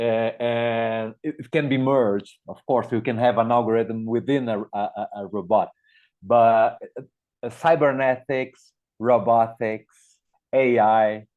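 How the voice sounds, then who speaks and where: A middle-aged Brazilian man with a Portuguese-speaker's accent, giving an informal conference talk and hesitantly repeating words as he thinks. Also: mid-range voice. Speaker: guest speaker, media educator. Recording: thin, phone-like online call audio.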